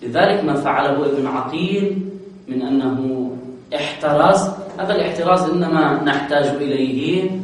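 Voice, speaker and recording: Guy teaching grammar, kinda high voice, asks questions then answers them himself, super echoey room, mic far off. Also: normal speed, slight background noise. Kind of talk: classroom lecture explaining a grammar poem.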